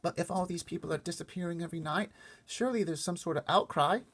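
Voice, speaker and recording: Curious, troubled storybook little girl acted by a man, pitched up, plain-spoken and questioning. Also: fast talker, fairly bright tone. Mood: troubled, challenging. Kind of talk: storybook character voice.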